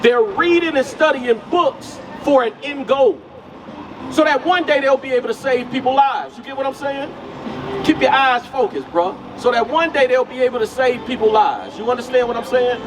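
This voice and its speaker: High-voiced man preaching on a busy street. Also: moderate pace. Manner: direct, questioning, repeats "you get what I'm saying". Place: outdoors on a city street. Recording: outdoor street noise. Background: traffic noise.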